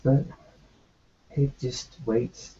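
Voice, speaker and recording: A man in an in-character tabletop roleplay, talking in a halting, reassuring way with many restarts. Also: medium pitch, flat delivery, dark tone, lo-fi sound.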